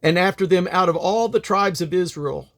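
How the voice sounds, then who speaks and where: A man with a somewhat high-pitched voice reads scripture aloud. His delivery is solemn and deliberate, with firm emphasis on the phrases as he goes. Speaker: Bible reader.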